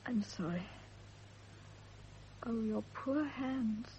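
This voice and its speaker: An actress in a radio drama playing a devoted young wife, grief-stricken, emotional and pleading. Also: female voice, on the high side, very slow, dark tone. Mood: anguished. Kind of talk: classic radio drama acting. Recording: dull, faintly noisy old radio recording.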